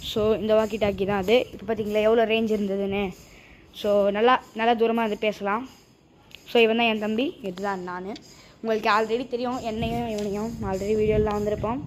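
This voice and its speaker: A woman's voice: medium pitch, flat delivery, fast, soft background music. A woman chattily explaining a product step by step to viewers in a casual vlog. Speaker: vlogger presenting a product unboxing.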